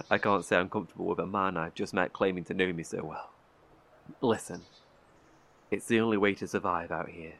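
A man's voice: low voice, steady tone, normal speed, creaky, pauses often, a bit bright, exaggerated voice. An actor playing a wary, guilt-ridden male survivor in an audio drama, terse, guarded and defensive. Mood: dry, guarded.

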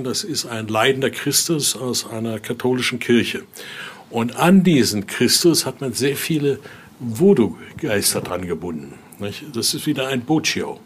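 A man giving an informal, halting explanation as a guide, often ending phrases with little questioning tags. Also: medium pitch, a little hiss or hum. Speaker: interviewee, exhibit guide and Voodoo expert. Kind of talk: informal spoken explanation during a guided tour.

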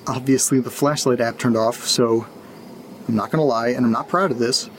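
A man playing a jittery late-night audio diarist, speaking quietly and casually. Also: mid-range voice, gravelly, a little hiss or hum, close to an everyday voice. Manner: hushed, conversational, informal. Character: jittery, foul-mouthed man recording a late-night spooky audio diary. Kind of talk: confessional audio-diary horror fiction, casual.

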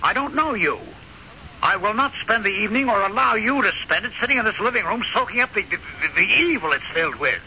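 An actor playing a frustrated, restless American husband in an old-time radio drama, sardonic, emphatic and easily riled. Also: male voice, medium pitch, big pitch swings, brisk, pushed and bright, heavily acted. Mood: angry, insistent. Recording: muffled, noisy old radio recording.

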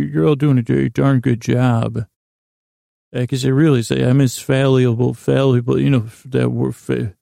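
A male podcast host with a soft, dark tone and a gravelly fry rambles through an improvised bedtime monologue. His pitch stays in a narrow band as he halts, corrects himself and wanders off into self-deprecating asides. The sound is close and dry. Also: no echo. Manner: halting and meandering, trailing off into tangents and self-corrections.

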